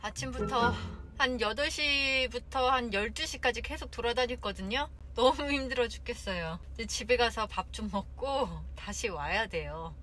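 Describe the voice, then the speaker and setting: A woman's voice: a little high, moderate pace, hardly any pauses, bright, forceful tone, slight background noise. A woman in her thirties chatting casually to a handheld phone camera, exclaiming and sighing as she talks. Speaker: vlogger. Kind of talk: casual vlog chat. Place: car interior and shops. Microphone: phone camera at arm's length.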